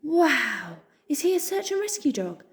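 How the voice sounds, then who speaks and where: A woman voicing an excitable, gossipy young male mouse who chatters fast and eagerly. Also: sits high, pitched above the performer's own voice. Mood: excited.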